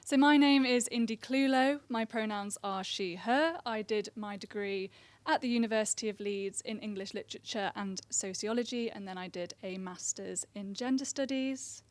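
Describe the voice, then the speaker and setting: A young woman in her twenties with a quiet, soft voice, presenting as a recent graduate on a conference panel. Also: mid-range voice, normal speed, no echo. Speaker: careers assistant, panel speaker.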